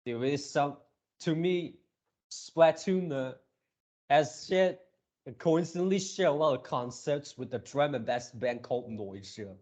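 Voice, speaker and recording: A man hosting a reaction video with casual, off-the-cuff commentary, speaking haltingly and repeating his words and phrases. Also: a little high, a little mellow.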